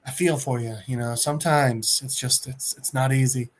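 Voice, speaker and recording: A comic recapper voicing a gentle, sympathetic male gorilla in a soft, halting, understated way. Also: medium pitch, natural voice.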